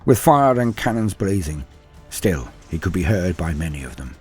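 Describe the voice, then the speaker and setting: A man's voice: sits low, very animated, normal speed, a little mellow, soft background music. A man narrating scripted war fiction in a grave, theatrical manner, letting his phrases fall away at the end. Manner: grave, theatrical storytelling with falling phrase endings. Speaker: narrator and storyteller.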